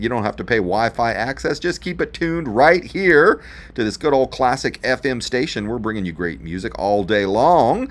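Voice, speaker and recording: A man's voice: mid-range voice, fairly bright tone. A man presenting a radio show in a folksy, chatty showman's manner, addressing listeners directly with dramatic repeats.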